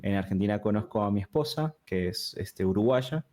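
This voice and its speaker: Guest: thirties man, from Peru, River Plate accent, lowish voice, loose and chatty. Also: normal speed. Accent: Rioplatense Spanish. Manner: relaxed and talkative, with frequent fillers and restarts. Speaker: guest, digital product designer. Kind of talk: casual interview conversation.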